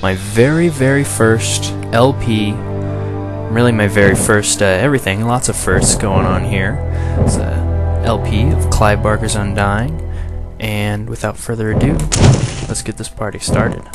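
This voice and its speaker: Low-voiced man commentating over loud game music. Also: unhurried. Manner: wry, sarcastic asides. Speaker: video game let's play commentator. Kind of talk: casual off-the-cuff game commentary. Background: loud game music and sound effects.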